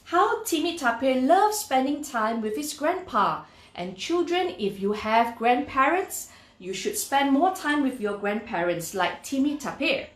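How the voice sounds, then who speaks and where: A woman reading a children's story aloud in an expressive, deliberate, instructive way, speaking directly to young listeners. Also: high voice, roomy sound.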